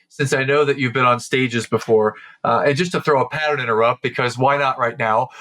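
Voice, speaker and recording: A man's voice: a little high, pushed and bright. A man hosting a podcast, chatting enthusiastically and repeating words as he thinks. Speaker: podcast host. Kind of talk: casual interview chat.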